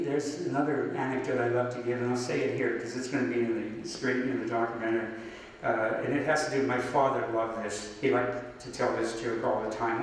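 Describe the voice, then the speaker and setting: A man whose first tongue is Slovak reads his poems in a slow, deliberate way, then chats loosely between them. His voice is heard from some distance in a big room with long, ringing reverb and a faint hiss behind it. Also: mid-range voice. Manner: slow deliberate poem reading, chatty aside with fillers. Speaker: poet giving a reading. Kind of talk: poetry reading with anecdotes. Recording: echoey hall, distant mic, faint noise.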